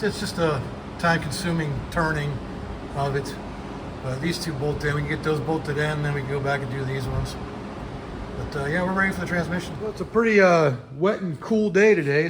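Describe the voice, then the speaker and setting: A man narrates his repair work step by step in a casual, filler-heavy way and pushes his voice out loud. His voice sits a bit high for a man. Heavy rain drums on the metal roof of the shop, and he is picked up by a camera mic some distance away. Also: fairly quick, noisy background. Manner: explains each repair step as he works, with many fillers; speaks up loudly over the rain. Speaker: mechanic and channel host. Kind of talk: casual garage how-to narration.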